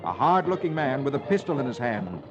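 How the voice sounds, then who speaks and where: A muffled vintage radio recording with music behind a man narrating. His delivery is animated and theatrical, that of a 1950s host reading adventure stories aloud. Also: medium pitch, fairly quick. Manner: theatrical storytelling.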